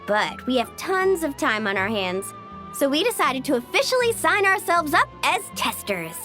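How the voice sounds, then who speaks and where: High, breezy young travel guide. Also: female voice, sing-song, moderate pace, bright, forceful tone, faint music behind, exaggerated voice. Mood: breezy, confident. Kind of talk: animated game voice acting.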